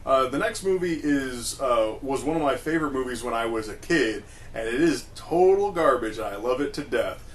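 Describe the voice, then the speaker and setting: A male podcast co-host in casual film talk, mid-pitched and explanatory. He works through his points by restating them, with noticeable noise behind him. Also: lively intonation, moderate pace, few pauses. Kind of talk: casual opinionated film discussion.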